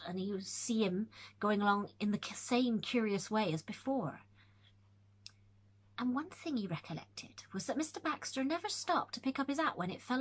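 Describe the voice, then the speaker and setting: A woman voicing an old rustic male servant who tells a grim tale in dialect, hushed and earnest. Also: mid-range voice, slightly rough.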